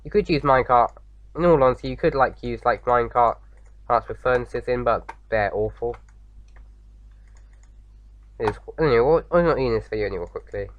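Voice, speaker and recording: A man with a slight sore throat, slightly croaky, chatting casually as he hosts a game playthrough, rambling with lots of fillers. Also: medium pitch, fairly quick, long pauses, highs cut off, a little hiss or hum. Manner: rambling, many fillers and restarts. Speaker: gaming video host. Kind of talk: casual let's-play commentary.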